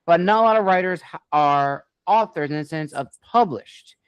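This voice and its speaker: A man hosting an interview, putting his questions in deliberate, emphatic phrases. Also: on the high side, clean tone, no echo, dull audio. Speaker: interview host.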